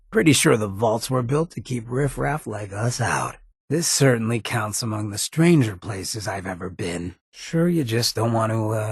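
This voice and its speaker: An actor voicing a roguish, wry ghoul mayor in a video game, with a raspy voice and a sly, streetwise, swaggering drawl. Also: male voice, on the low side, no echo, studio quality, heavily acted. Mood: sardonic. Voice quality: raspy, gravelly. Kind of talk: video game character dialogue.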